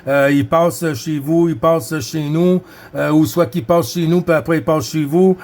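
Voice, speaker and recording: A man's voice: a little high, fairly even intonation, normal speed, a little mellow. A man with a Quebec French accent chatting casually as a CB radio operator, friendly and rambling. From Quebec, Canada. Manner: friendly, rambling, frequent Quebec filler words.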